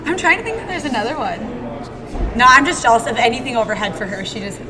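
A young woman, a gym coach, chatting casually in an interview, animated and full of "like" fillers. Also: a little high, fast, crowd noise. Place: gym room, sitting on the floor.

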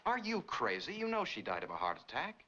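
A man's voice: mid-range voice, wildly swooping pitch, fairly quick, sharp tone. An actor in his thirties playing a wary, blunt, skeptical American friend in a 1940s film noir. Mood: incredulous. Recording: dull, hissy 1940s film soundtrack.

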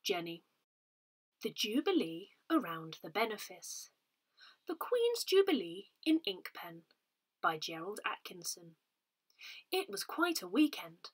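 A woman reading a newsletter aloud in a measured, expressive manner, as a volunteer narrator. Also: mid-range voice, slightly rough, poor audio. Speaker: volunteer reader of a parish newsletter.

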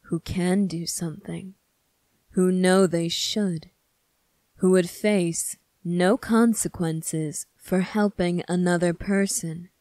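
A woman voicing a stern, bitter young male demon prince in an ASMR roleplay, speaking deliberately and accusingly, at times sinking toward a whisper. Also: fairly low pitch, steady tone, a little mellow, no echo. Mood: solemn, condemning. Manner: slow, deliberate, accusing, with breathy whispered phrases. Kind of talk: scripted ASMR roleplay monologue.

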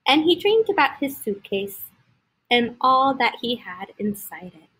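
A woman in her thirties reading a picture book aloud to young children, expressively, pausing to point out pictures with simple comments. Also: fairly high pitch, very animated, fairly bright tone, husky, rough recording. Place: indoor school classroom. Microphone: laptop on a video call.

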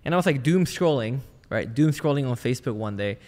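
A man's voice: mid-range voice, animated, brisk, a little mellow, in a large hall. A man from Malaysia telling his story casually as a podcast guest, in an informal, conversational way. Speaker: podcast guest, sales trainer and entrepreneur. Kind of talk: casual personal storytelling in an interview.

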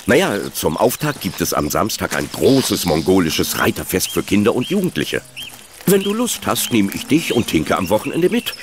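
Lively, low-voiced teasing dad. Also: male voice, normal speed, noisy background. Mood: friendly. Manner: playful, gently teasing. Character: kindly teasing father of a teenage girl. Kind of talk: German children's audio drama acting.

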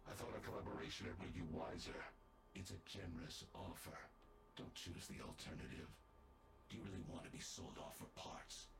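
An actor playing a cold, commanding robotic villain in a sci-fi game cutscene, ominous and gravelly. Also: male voice, deep voice, a little fast. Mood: cold menace. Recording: echoing, noisy game ambience.

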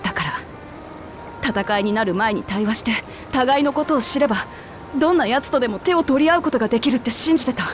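High, rough-spoken young warrior queen played by a woman. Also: expressive, fast talker, background noise, lightly acted. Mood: grave, resolute. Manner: rough, tomboyish speech. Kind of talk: dramatic video game voice acting.

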